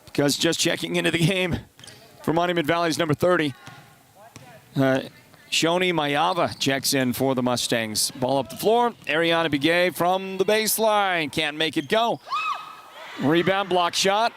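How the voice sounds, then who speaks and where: A congested man with a partly lost voice, calling high school basketball play-by-play and chatting between plays. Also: moderate pace, a bit bright, a little hiss or hum. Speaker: play-by-play announcer.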